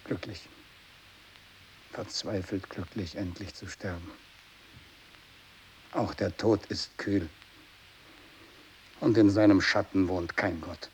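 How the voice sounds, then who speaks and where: An actor playing a fanatical, tormented captive missionary turned hateful zealot, in an intense German radio drama monologue from the 1960s. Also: male voice, low-pitched, steady tone, slow, pauses often, poor audio. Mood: anguished, weary. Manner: intense, swinging between hushed muttering and loud declamation. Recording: hissy 1960s radio production.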